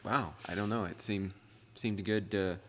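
Low-voiced man hosting in a reverberant room. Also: steady tone, measured pace. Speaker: podcast host. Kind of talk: casual podcast chat. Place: club podcast studio with car theme.

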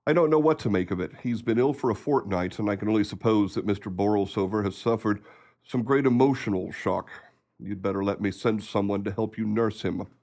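A man acting in a radio play as a patient, sensible family doctor with a formal, measured manner. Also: lowish voice, darkish tone, close to an everyday voice. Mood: concerned, resigned.

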